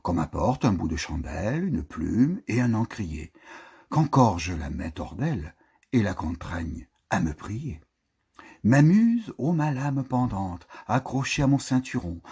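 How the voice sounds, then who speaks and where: Male poetry reader, very husky low voice, theatrical and declamatory, with lively swings between swells and hushed endings. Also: unhurried. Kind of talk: theatrical, expressive poetry recitation.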